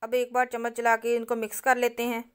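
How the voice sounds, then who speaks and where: A woman, narrating a home cooking recipe step by step and speaking directly to her viewers in a calm, instructive way. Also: fairly high pitch, fast, clear, resonant tone. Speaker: cooking channel host.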